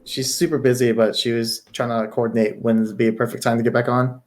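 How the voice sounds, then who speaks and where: A man's voice: mid-range voice, flat delivery. Airy-voiced young man, slight Southern accent. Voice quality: light, airy voice. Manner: easygoing, quick to laugh. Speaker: podcast co-host. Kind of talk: casual chat.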